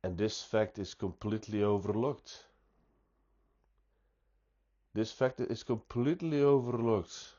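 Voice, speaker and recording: A man with a stuffy nose giving an unscripted, reflective monologue, speaking deliberately and often restarting his sentences. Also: on the low side, steady tone, dark tone, highs cut off. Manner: slow, deliberate, thoughtful, with frequent restarts. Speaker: pipe-smoking vlogger.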